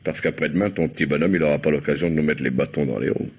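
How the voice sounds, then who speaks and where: An actor playing a suspicious, bossy gangster, blunt, slangy and impatient, in a radio crime drama. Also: male voice, a bass voice, rapid-fire, heavy background noise. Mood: suspicious, wry. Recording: dull vintage radio recording.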